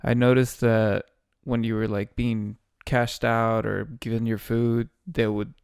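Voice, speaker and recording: A man co-hosting a casual podcast chat, with a low, soft voice that he struggles to project, speaking close to the mic with laid-back, dry humor. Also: flat delivery, unhurried, gravelly, smooth, no room sound. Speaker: podcast co-host.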